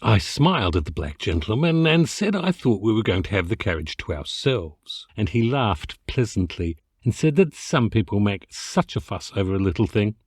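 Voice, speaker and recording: Animated male storyteller, theatrical delivery. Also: on the low side, normal speed, hardly any pauses, husky, no echo, studio quality. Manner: theatrical, expressive, mock-dignified. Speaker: amateur voice actor reading an audiobook excerpt. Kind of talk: comic literary narration, storytelling.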